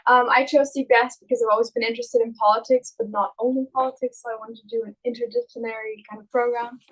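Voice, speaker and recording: A woman's voice: fairly high pitch, steady tone, fast, a bit bright, poor audio. A young woman from Belgium speaking unscripted as a student introducing herself on a panel. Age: young adult.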